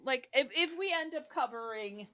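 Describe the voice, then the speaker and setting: Animated, higher-pitched woman podcast host. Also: normal speed. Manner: giggly, animated, swears freely. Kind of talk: casual humorous chat.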